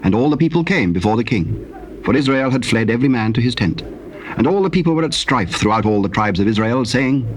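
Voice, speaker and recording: A man narrating dramatized scripture in a solemn, measured and formal way. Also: medium pitch, animated. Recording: noisy old cassette tape.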